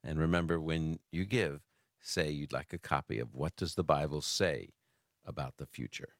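A man's voice: extremely low pitch, normal speed, no room sound, clean studio sound. An American man hosting a radio call-in show in a chatty way, often repeating and restarting his phrases. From Brooklyn, New York, United States. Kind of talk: casual talk radio, answering callers.

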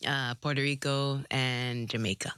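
A woman from the Philippines, a guest on a radio talk show, speaking candidly and haltingly with restarts. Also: a bass voice, steady tone, a bit bright, clean tone, dry recording, clean studio sound. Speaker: guest, author.

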